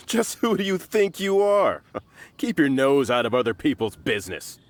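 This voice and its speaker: A sneering thug, swinging wildly in pitch as he mocks and threatens with a cackle in a game scene. A faint hiss lies under it. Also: big pitch swings, unhurried, soft background music. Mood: mocking. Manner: mocking, cackling. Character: sneering adult male thug, bullying.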